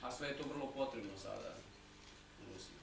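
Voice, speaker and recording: Brief: male conference interpreter, paraphrasing, far from the mic in a very reverberant hall with clear hiss. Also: mid-range voice, a little fast. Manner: summarizing, loose paraphrase. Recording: very echoey hall, distant mic, audible hiss.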